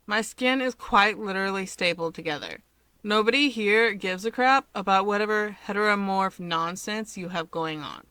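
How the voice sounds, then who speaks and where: A woman voicing a gruff, weary, sardonic man, the eldest brother, in a blunt deadpan manner for a story. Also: mid-range voice, moderate pace, dry recording, natural voice. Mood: irritated.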